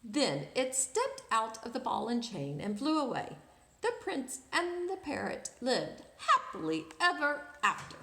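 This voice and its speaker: Female storybook reader for kids: high, bright voice, unhurried and expressive, echoey room. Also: vocal fry. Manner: expressive, pauses to ask listeners questions. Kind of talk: animated children's read-aloud.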